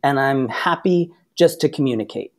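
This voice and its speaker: A man's voice: medium pitch, expressive, moderate pace. Easygoing, talkative Massachusetts-raised man. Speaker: podcast guest. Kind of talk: casual interview chat.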